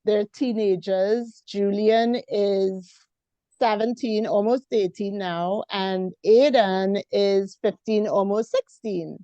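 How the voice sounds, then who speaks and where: A woman from Trinidad and Tobago with a Trinidadian accent, a Bible teacher chatting as a podcast guest over a video call in an unhurried way. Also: mid-range voice, dark tone, no room sound, lo-fi sound. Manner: unhurried, laughs easily. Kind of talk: casual interview chat.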